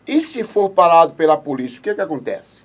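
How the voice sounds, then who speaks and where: A man's voice: on the high side, big pitch swings, a little fast. A man hosting a radio show, reading listener questions and politely laying out hypothetical cases. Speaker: radio host.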